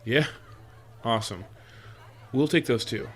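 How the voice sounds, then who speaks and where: A man's voice: mid-range voice, unhurried, fairly bright tone, a faint crowd, slight background noise, close to an everyday voice. A man playing an affectionate, playful boyfriend in a roleplay audio drama, talking in a teasing, coaxing way. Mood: insistent, teasing. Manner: teasing, chuckles often, coaxing. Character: affectionate playful boyfriend spoiling his sweetheart with surprises.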